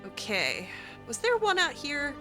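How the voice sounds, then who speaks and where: A woman's voice: high voice, huge pitch swings, measured pace, over music. A woman giving in-character commentary as she plays a video game, with wry, exasperated asides. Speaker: gaming video host playing in character.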